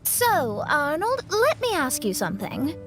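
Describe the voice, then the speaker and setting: A woman's voice: high voice, huge pitch swings, measured pace, over music, heavily acted. A woman playing a proud, dramatic young astrologist in an anime-style video game, haughty and emphatic. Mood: composed, inquisitive.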